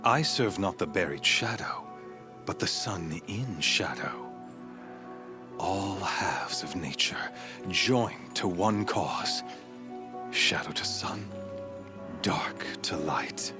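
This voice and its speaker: An actor playing a cruel, righteous cult warrior in a video game, speaking in solemn, measured pronouncements. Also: male voice, low voice, animated. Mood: fervent. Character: menacing male cult warrior zealot, cruel, righteous, smugly certain. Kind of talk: dramatic video game acting. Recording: dramatic music behind the voice. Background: music.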